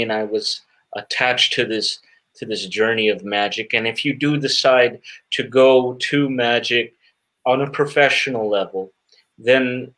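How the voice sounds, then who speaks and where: A man answering interview questions as a guest magician, earnest and reflective, often pausing and repeating words as he searches for phrasing. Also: medium pitch, a bit bright, rough recording.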